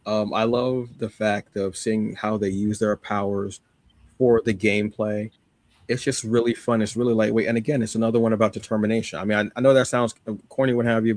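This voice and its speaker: A man's voice: lowish voice, darkish tone, no room sound. A man co-hosting a podcast, chatting casually and enthusiastically with slangy emphasis as he gives recommendations. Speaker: podcast co-host. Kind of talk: casual chat, giving recommendations.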